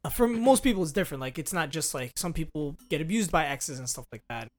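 A man's voice: fairly high pitch, lively intonation, fast talker, soft background music. A young man with an American accent chatting casually as a podcast co-host, blunt and slangy, often repeating and rephrasing his points. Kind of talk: casual chat.

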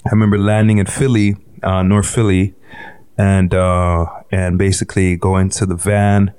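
A man's voice: sits low, flat delivery, moderate pace, darkish tone, clear, resonant tone, no echo, clean studio sound. A young man born in Haiti, telling his life story in a casual interview, laid-back and rambling with lots of fillers and swearing. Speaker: guest musician.

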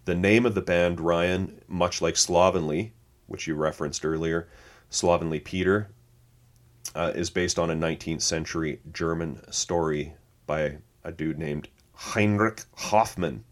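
A man's voice: sits low, fairly even intonation, a little slow. A man co-hosting a podcast, chatting casually, reading notes aloud and laughing easily. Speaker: podcast co-host.

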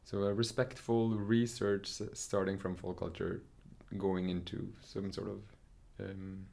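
A man's voice: low voice, level tone, measured pace, creaky, darkish tone. A young man from Norway, a dancer, talking through his story in a casual interview, thoughtful and hesitant with many fillers and restarts.

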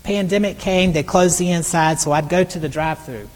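A middle-aged male missionary preacher with a soft, high voice that is often taken for a woman's, telling stories in a conversational sermon with frequent restarts. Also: fairly quick. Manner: conversational storytelling with repeated restarts. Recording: long hall reverb.

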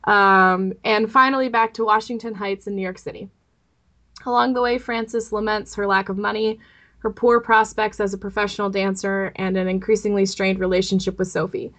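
A woman's voice: medium pitch, fairly bright tone. A woman co-hosting a podcast in an informal, opinionated way, sometimes reading aloud and sometimes chatting. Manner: opinionated, informal, laughs easily. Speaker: podcast co-host and producer. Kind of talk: casual chat with read-out synopsis.

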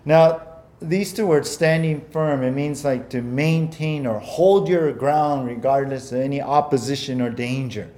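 A man's voice: mid-range voice, measured pace, warm tone. A man preaching a sermon as pastor, earnest and conversational, often repeating words and using fillers, whose first language was Japanese.

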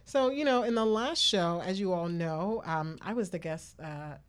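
A woman's voice: fairly low pitch, moderate pace, clear, resonant tone. A woman hosting a talk radio show, chatting casually with co-hosts and listeners.

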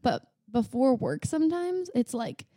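A woman co-hosting a podcast in relaxed, rambling morning chat, still a little groggy and full of filler words. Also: sits high, measured pace, no echo, clean studio sound. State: just waking up, not yet clear-headed. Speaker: podcast co-host. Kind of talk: casual chat.

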